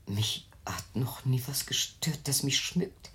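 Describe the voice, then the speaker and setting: A woman's voice: a bass voice, slow pace, in a whisper. An actress playing an anxious, protective middle-aged mother in a German radio drama, soothing and placating in a theatrical manner. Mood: worried, placating. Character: anxious, protective, coaxing mother of a troubled daughter.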